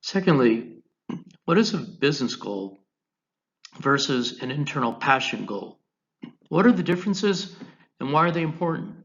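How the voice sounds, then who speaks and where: An American man teaching an online class, giving a deliberate, instructional lecture over slides, phrase by phrase. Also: fairly high pitch, sing-song, a bit bright, lo-fi sound. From United States, Colorado.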